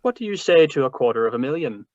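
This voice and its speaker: A man's voice: medium pitch, very animated, quick pace, darkish tone, no echo, lightly acted. Man playing a pompous, scheming radio-drama crime boss, muffled. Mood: smoothly bargaining. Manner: pompous, florid, polite delivery. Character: suave, wordy, scheming older crime boss obsessed with treasure. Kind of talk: 1940s radio drama read-through. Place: remote read-through over a video call. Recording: muffled, dry video-call audio.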